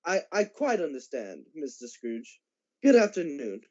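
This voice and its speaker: A man playing a polite, earnest gentleman collecting for charity in a radio drama, speaking formally and a little haltingly. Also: a little high, very animated, highs cut off. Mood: polite, deflated.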